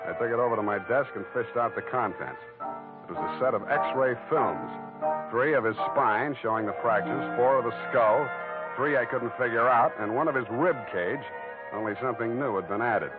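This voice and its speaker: A man playing a wisecracking, cynical private detective, deadpan and terse, in a 1940s radio drama. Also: mid-range voice, normal speed, lightly acted. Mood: wry narrating. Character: wisecracking, hard-boiled male private detective. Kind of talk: 1940s radio acting and narration. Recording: tinny old radio recording with music.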